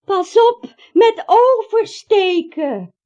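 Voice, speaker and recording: An actress playing a morbid, ominous woman in a radio play, speaking deadpan with macabre relish. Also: very high voice, drawn-out words, exaggerated voice. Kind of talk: Dutch radio play acting. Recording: muffled, dull old radio-play recording.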